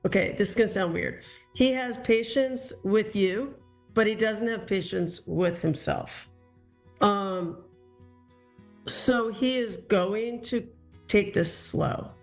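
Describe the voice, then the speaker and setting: A woman's voice: mid-range voice, slow, faint music behind. A woman giving a psychic reading to a caller, reassuring and searching for her words as she goes. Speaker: psychic reader.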